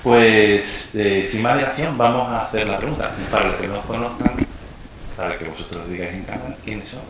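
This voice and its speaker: A man hosting an informal interview, asking questions in halting phrases. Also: on the low side. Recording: roomy echo, loud background noise.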